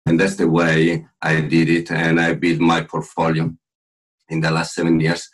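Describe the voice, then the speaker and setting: A middle-aged man speaks English with an Italian accent, his voice very low and a little husky. He talks over a video call in a chatty, joking way, with many pauses to think. It sounds like a home room, not a studio. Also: completely flat delivery. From Italy. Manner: jokey, chatty, with filler pauses. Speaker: podcast guest. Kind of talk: casual interview talk.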